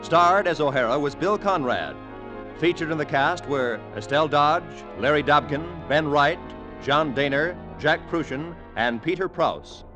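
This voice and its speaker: A man's voice: on the high side, animated, a little slow. A man reading scripted credits as a 1940s network radio announcer. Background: music.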